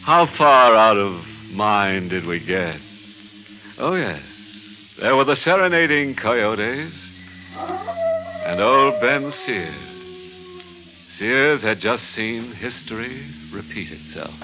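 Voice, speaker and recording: Noisy vintage radio, macabre host, theatrical, very animated pitch, lowish voice, playful. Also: male voice, slow, pauses often. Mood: sly, amused. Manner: teasing, theatrical, punning. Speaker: host narrator. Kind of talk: 1940s radio horror hosting. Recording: noisy old radio recording.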